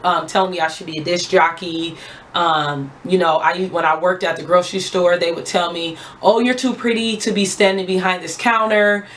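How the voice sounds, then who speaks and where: Raspy-voiced young woman chatting through her skincare. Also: fairly bright tone, a little hiss or hum. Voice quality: raspy, low voice. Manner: chatty, heartfelt, thanks God often. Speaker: beauty vlogger. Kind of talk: casual chat while doing skincare.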